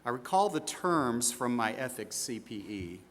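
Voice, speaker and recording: A man's voice: mid-range voice, a little slow. Man acting as older auditor in an echoey hall. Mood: matter-of-fact. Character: older small-firm auditor, confident, defensive under questioning. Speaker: accountant under questioning. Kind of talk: scripted role-play dramatization. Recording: echoey hall, steady background room noise.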